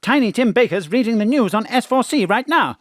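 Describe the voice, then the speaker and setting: An actress playing a chirpy, helpful computer assistant in an audio drama, announcing things perkily. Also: female voice, a little high, animated, fairly quick, no echo, clean studio sound. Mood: perky.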